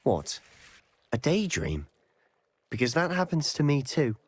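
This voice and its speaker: Lively, wry schoolboy wizard. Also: male voice, on the high side, measured pace, breathy edge, no echo, heavily acted. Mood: curious, sceptical. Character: sceptical, wry teenage schoolboy wizard, a loyal friend. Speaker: companion character in a video game. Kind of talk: scripted game dialogue.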